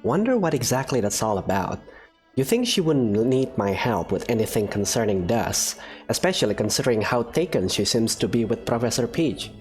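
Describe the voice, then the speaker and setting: Woman doing a low, hesitant young man. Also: big pitch swings, normal speed, a little mellow, soft background music. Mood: earnest. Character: young male student, quiet and polite.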